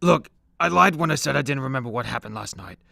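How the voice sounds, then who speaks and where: A man's voice: mid-range voice, expressive, quick pace, gravelly, pushed and bright, no room sound, exaggerated voice. A man playing a weary, hard-drinking immortal Greek superhero in a comedy radio play, hesitant, sheepish and self-deprecating. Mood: sheepish, apologetic.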